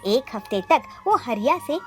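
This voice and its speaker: A woman's voice: on the high side, lively intonation, normal speed, over music. A woman narrating a scripted children's story as the storyteller.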